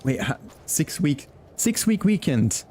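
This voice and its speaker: A man's voice: a little high, very animated, slow pace, warm tone. Friendly male streamer chatting casually with viewers, game music underneath. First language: Flemish. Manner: chatty and friendly, greets chat by name, laughs often. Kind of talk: casual live-stream chat.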